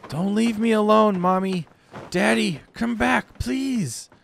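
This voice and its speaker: A man doing a delirious dying girl, high and pleading. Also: takes it slowly, gravelly, slight background noise, full character voice. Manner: high, small, pleading child-like voice. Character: dying young woman, delirious, childlike and pleading.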